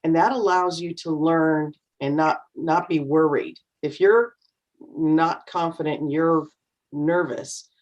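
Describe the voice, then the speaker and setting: Woman with a low voice coaching english learners on a video call, encouraging. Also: steady tone, slow pace, a little mellow, dull audio. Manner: encouraging, explanatory, acts out nervous voices. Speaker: co-host and speaking coach. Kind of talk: online English class coaching.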